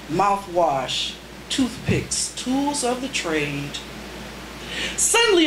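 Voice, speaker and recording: A woman performing her poetry live at a reading, with deliberate, dramatic phrasing and pauses between short phrases. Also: on the high side, a bit bright. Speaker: poet, featured reader at a poetry reading. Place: indoors at a cafe poetry reading. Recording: roomy live cafe recording, faint background noise.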